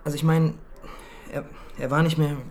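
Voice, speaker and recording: An actor playing a nervous young soldier who gives stiff, clipped reports in military jargon in a radio drama. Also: male voice, fairly high pitch, little pitch movement, moderate pace, lightly acted. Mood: nervous, evasive. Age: young adult. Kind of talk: German radio drama acting.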